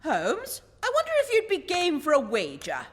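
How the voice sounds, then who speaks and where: A woman acts an earnest detective's companion in a radio play. The voice is high, articulate and lively in its swoops, with hall-like reverb around it. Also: moderate pace, over loud music. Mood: eager, sceptical. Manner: expressive, articulate storytelling. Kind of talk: radio play acting and scripted narration.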